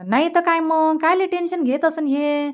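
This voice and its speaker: A woman's voice: very high-pitched, steady tone, moderate pace. A woman playing a generous, practical village mother in a cartoon comedy, insistently reassuring in a Varhadi Marathi accent. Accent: Varhadi Marathi of Vidarbha. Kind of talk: dialect cartoon comedy dialogue.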